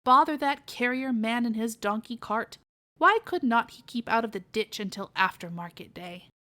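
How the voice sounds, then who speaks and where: A woman's voice: a little high, lively intonation, moderate pace, pushed and bright. A woman voicing a fussy, bossy pig aunt who grumbles and gives orders in a storybook. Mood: snappy, grumbling. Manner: bossy, snappy, listing errands.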